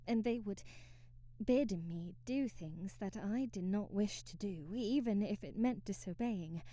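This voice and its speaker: A woman's voice: medium pitch, measured pace, dark tone, close to an everyday voice. A woman playing a timid, devoted young maid in an ASMR roleplay, speaking formally and deferentially with hesitant stammers and period-drama phrasing. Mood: hesitant, hurt.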